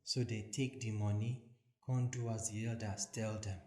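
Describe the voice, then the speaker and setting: A man with a Nigerian accent reading scripture as a measured, deliberate dramatic narration. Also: lowish voice, fairly even intonation, darkish tone. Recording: echoey voice recording with music. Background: soothing background music.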